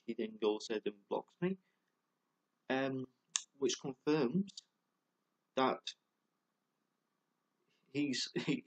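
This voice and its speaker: A man's voice: mid-range voice, poor audio. A man vlogging a rambling, heated monologue, full of fillers, stumbles and repeated words, with plenty of swearing. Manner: swears a lot and gets heated. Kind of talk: ranting monologue to camera.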